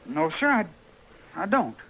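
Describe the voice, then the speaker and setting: Very high-pitched, swooping rural American boy. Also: very animated, a little slow, vocal fry, fairly bright tone, exaggerated voice. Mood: sheepish, defensive. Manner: folksy, earnest, stammering when cornered. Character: scared, defiant, cocky runaway frontier boy. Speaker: actor in a radio western. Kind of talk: old-time radio western acting. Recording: noisy old radio drama recording.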